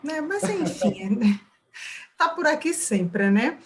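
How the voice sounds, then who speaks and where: A woman's voice: sits high, sing-song, slow pace, slight background noise. Woman moderating a webinar. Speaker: moderator of a lecture. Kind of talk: moderating an online Q&A. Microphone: video call.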